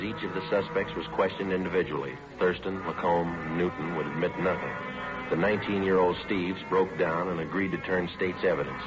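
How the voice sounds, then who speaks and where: A low-pitched male police detective played by a radio actor recounts his work in clipped, matter-of-fact phrases. He sounds businesslike and deadpan, in a vintage radio crime drama with a muffled recording and music underneath. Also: natural voice. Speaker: narrator and lead actor. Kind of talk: 1950s radio crime drama narration and acting.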